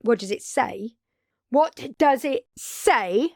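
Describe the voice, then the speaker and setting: A woman playing a brash, excitable undead vampire in an audio drama, talking casually and expressively straight to the listener with salty language and dramatic pauses. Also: a little high, vocal fry, dry recording, clean studio sound, full character voice. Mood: accusing, tense. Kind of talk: intimate audio drama roleplay addressed to listener.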